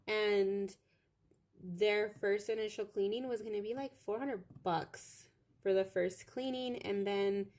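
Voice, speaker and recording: A young woman with a loud voice, chatting casually from her home office while crafting, meandering with lots of fillers. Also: mid-range voice, fairly even intonation, unhurried. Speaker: craft vlogger. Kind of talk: rambling casual chat to viewers.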